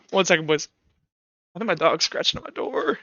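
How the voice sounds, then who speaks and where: A man acting as game master, casually narrating a tabletop roleplay in a halting, informal way to his players. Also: on the high side, pushed and bright, no echo, highs cut off. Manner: halting, informal delivery with many "like"s.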